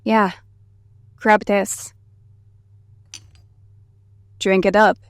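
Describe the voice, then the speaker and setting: A woman's voice: medium pitch, level tone, drawn-out words, smooth, dry recording, clean studio sound. An actress playing a sardonic yet soothing woman survivor in an audio drama, cool, teasing and matter-of-fact. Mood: wry.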